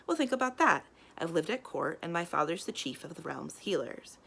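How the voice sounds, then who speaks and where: A chatty young nobleman in his teens, as a woman reader plays him, friendly and dryly wry. He tends to make little speeches, in a storybook style with a slightly creaky edge. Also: lowish voice, sing-song, normal speed, sharp tone, exaggerated voice. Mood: earnest. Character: talkative, wry, friendly teenage noble boy.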